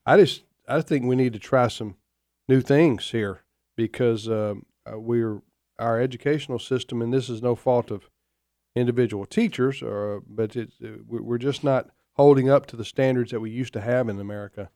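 A man in his fifties hosting a radio talk show, speaking in a folksy, opinionated way with many halting fillers. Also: mid-range voice, dark tone, no echo, studio quality. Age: 50s.

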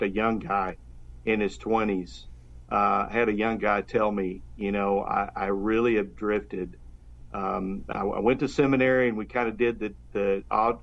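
A pastor speaking earnestly and fervently about faith in a casual conversation, often restarting his sentences. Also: mid-range voice. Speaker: pastor, guest. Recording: dull, lo-fi sound.

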